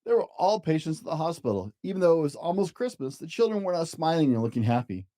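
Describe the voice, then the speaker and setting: A storyteller reading a children's picture book aloud in an expressive, gentle way, as if to young children. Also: mid-range voice, fast talker.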